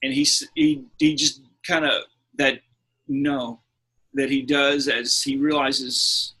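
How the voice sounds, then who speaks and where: A man co-hosting a podcast, chatting casually and telling film anecdotes in an animated way, slipping into acted-out quotes. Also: medium pitch, takes it slowly, gravelly, slightly rough, poor audio. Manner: animated storyteller who acts out quotes. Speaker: podcast co-host.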